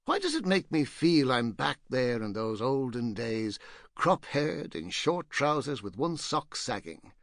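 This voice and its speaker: A man's voice: medium pitch, sing-song, measured pace, fairly bright tone, dry recording, clean studio sound. A man narrating a literary audiobook as a rueful, self-mocking, pompous ex-painter nearing fifty, fussy and digressive in manner. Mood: brooding, rueful.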